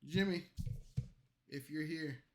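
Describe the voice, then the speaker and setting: A man streaming late at night, tipsy from alcohol and an energy drink, delivering a loud, profane, rambling rant at his chat. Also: fairly high pitch, slow pace. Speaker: streamer reacting to clips.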